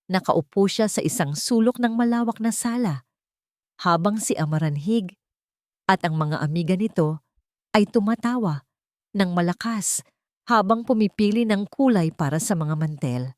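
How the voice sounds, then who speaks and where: A woman's voice: mid-range voice, animated, normal speed, darkish tone, no echo, studio quality. A woman reading a scripted, dramatic love story as its narrator.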